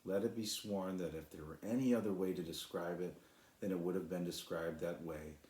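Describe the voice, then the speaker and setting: Low-voiced man reading a storybook, echoey. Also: fairly even intonation, a little fast, a little mellow, slight background noise. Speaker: author reading his own children's book. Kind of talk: storybook narration. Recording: echoey room.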